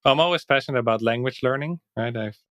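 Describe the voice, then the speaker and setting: A Dutch man, a native Dutch speaker, talking in English as a podcast guest, reflective and thinking aloud with soft laughs. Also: medium pitch, fast talker, no echo, studio quality. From Netherlands. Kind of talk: casual interview chat.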